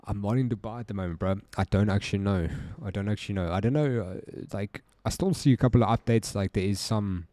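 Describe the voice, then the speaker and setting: A young South African man hosting a casual live stream, joking, laughing a lot and talking in relaxed slang. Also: fairly low pitch, fast, hardly any pauses, no room sound. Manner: laid-back, joking, laughs often, slangy. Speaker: livestream trading host.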